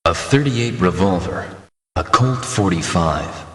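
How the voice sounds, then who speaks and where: A man reading scripted item names for a video game in a deliberate, matter-of-fact way. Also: deep voice, expressive, fairly bright tone, echoey room, big-room sound. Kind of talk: scripted item-list narration.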